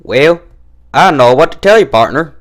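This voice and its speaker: A man puts on a feisty young country mare from a cartoon fan story. She speaks in a folksy Southern American drawl, raised in pitch and very animated. Her words come out plain and emphatic. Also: normal speed, close to an everyday voice. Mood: puzzled, folksy. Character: feisty young female country farm pony, plain-spoken, stubborn. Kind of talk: cartoon fan fiction character reading.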